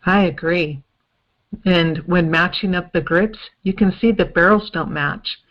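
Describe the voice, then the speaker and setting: A woman playing a methodical forensic investigator in an old time radio style detective drama, explaining evidence in a deliberate way. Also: on the low side, highs cut off, close to an everyday voice. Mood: explanatory, assured.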